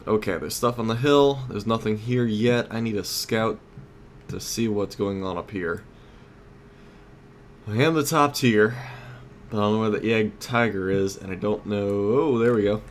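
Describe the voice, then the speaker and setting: Low-voiced man commentating on a game. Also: normal speed, slight background noise. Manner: conversational, thinking aloud. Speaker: let's play host. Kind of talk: casual gameplay commentary.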